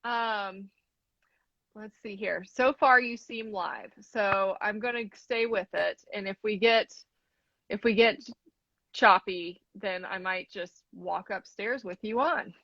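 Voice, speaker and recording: A woman hosting an interview over a video call, friendly and unhurried as she asks questions. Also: mid-range voice, a bit bright, no echo. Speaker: interview host. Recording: dry, dull video-call audio.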